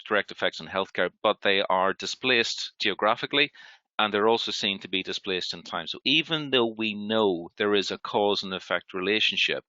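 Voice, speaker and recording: A man's voice: lowish voice, normal speed, pushed and bright, no room sound, muffled recording. A man with a Belfast accent, speaking earnestly as a panel guest in a webinar discussion, with restarts and stammers. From Northern Ireland.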